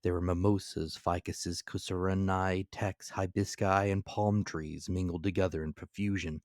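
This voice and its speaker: Low-voiced man narrating a classic novel. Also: level tone, normal speed, darkish tone, no room sound, studio quality. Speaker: audiobook narrator. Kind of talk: dramatic scripted reading of classic fiction.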